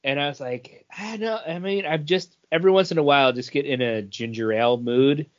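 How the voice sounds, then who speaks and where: A man's voice: fairly high pitch, brisk. An American man speaking casually as a podcast co-host. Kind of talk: casual chat. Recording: dull lo-fi voice recording.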